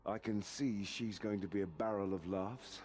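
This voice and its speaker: Man playing an earnest, low-voiced noble knight. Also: normal speed, a little mellow, slight background noise, close to an everyday voice. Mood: confident. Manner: earnest, formal, resolute. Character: proud, honourable male knight resisting inner corruption. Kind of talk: scripted fantasy game acting. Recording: faint background ambience.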